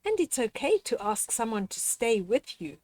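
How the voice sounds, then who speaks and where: An older woman reading a children's story aloud in an expressive, gently instructive way, addressing the child listener directly with deliberate pauses. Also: fairly high pitch, dry recording.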